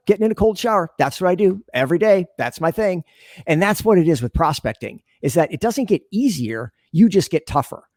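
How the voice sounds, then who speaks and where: A man's voice: high-pitched, darkish tone, dry recording, clean studio sound. A man speaking as a podcast guest, explaining earnestly and conversationally, often voicing imagined dialogue as he goes. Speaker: podcast guest, sales leader and business consultant. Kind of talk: conversational interview answers.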